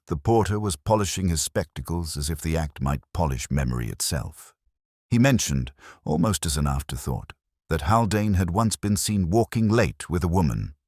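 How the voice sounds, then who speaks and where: A man voicing a brooding, world-weary older detective, narrating a mystery audio drama in a measured, literary, wry manner. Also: low-pitched, darkish tone, husky, no room sound, studio quality. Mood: reflective. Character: brooding, world-weary male Oxford detective recalling an old case.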